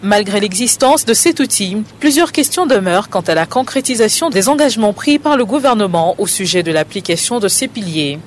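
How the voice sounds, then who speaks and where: Brief: male reporter, high voice, formal scripted read, faint street noise behind. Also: expressive. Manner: formal, measured delivery. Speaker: news reporter.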